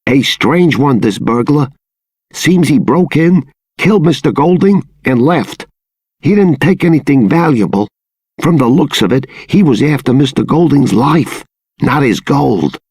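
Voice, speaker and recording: A man's voice: mid-range voice, expressive, a little slow, darkish tone, breathy edge, no echo. A man playing a secretive townsman in a video game, confiding grim gossip in a storytelling manner. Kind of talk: video game dialogue acting.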